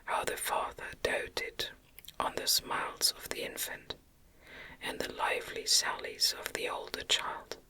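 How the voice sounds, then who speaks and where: Woman, ASMR whisper, slow gentle gothic audiobook reading, crisp airy brightness, deliberate phrasing. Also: poor audio. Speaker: ASMR reader.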